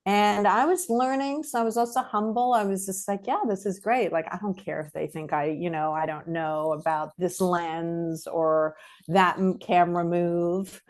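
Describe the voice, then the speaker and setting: A woman's voice: lowish voice, fairly even intonation, moderate pace, a little mellow. A middle-aged woman from South Africa, a filmmaker guest chatting casually in an interview, rambling with many fillers and restarts.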